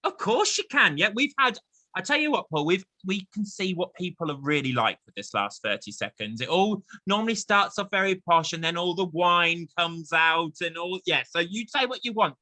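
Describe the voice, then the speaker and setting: An English man with a high-set, very bright voice that swings animatedly as he hosts a chat show. He is effusive and encouraging, and the sound is close and dry. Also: expressive, moderate pace, dry recording. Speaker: host of a theatre chat show. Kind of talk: chatty interview and quiz hosting.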